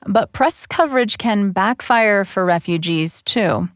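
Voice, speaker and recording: A woman reporter giving measured, scripted radio narration in a close studio. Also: medium pitch, no room sound. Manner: measured, even, read from a script. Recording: dry, close studio sound.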